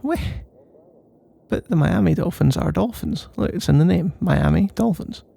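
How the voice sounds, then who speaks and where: A man's voice: a little high, very animated, normal speed, gravelly, dark tone, slightly rough. A man doing a casual, rambling ASMR boyfriend roleplay in a playful, mock-boastful and meandering way.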